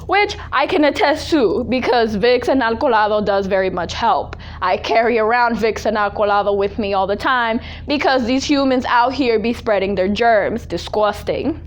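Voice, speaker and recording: High-pitched sassy young woman telling stories. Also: normal speed, few pauses. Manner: exaggerated, sassy, mimicking relatives. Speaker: storytelling vlogger. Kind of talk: casual comedic storytelling. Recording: audible background noise.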